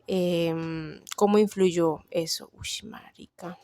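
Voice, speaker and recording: A woman's voice: fairly low pitch, fairly even intonation, slow pace, dark tone, clean tone, no room sound, studio quality. A young woman with a Colombian Caribbean coast accent, chatting casually about herself and thinking aloud with frequent fillers. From Barranquilla, Colombia. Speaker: vlogger. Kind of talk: casual personal chat.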